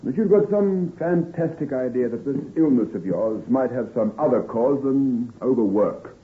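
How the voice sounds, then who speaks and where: An actor playing a blunt, exasperated but loyal male friend, speaking briskly and reprovingly in an old-time radio drama. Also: medium pitch, expressive, lightly acted. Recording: muffled, narrow-band old radio recording.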